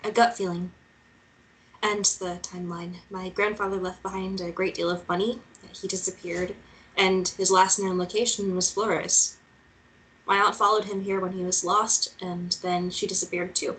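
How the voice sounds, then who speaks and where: Mid-pitched female voice, actress as earnest nervous searcher, hesitant, natural, faint background noise. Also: little pitch movement, moderate pace, fairly bright tone, close to an everyday voice, low-quality recording. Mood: earnest, insistent. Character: earnest, nervous young woman seeking her missing aunt. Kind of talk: table read of a screenplay.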